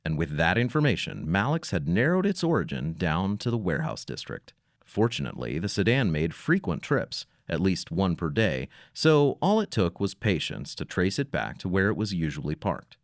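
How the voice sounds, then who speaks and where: A man's voice: low-pitched, very animated, normal speed, no room sound, clean studio sound. A man narrating a scripted audio story in a dramatic, slightly wry manner.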